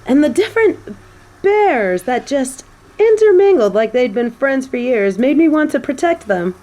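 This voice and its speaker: A woman playing a snobby but secretly soft fallen angel in a scripted audio roleplay, with prim, formal phrasing. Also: sits high, big pitch swings, normal speed, poor audio. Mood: awed, chatty.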